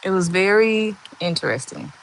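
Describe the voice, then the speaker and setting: A woman in her forties talks in a monotone, medium-pitched voice. She reflects slowly and openly as she answers her livestream viewers' comments. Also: slight background noise, rough recording. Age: 40s. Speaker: livestream host. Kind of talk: casual live chat answering viewer comments.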